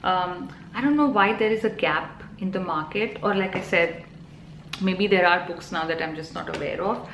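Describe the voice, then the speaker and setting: Chatty Indian mom vlogger, slightly low voice. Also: female voice, moderate pace, fairly bright tone, a little hiss or hum. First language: Telugu. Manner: chatty, many ums and you-knows. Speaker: parenting vlogger. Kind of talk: casual talk to camera. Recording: echoey room, faint ambience.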